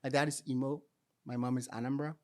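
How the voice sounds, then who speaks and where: A man from Nigeria chatting as an interview guest with a showman's confidence. His voice sits at an ordinary middle pitch, and he talks in a bold, flamboyant, self-assured way. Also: little pitch movement, quick pace. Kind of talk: casual interview chat.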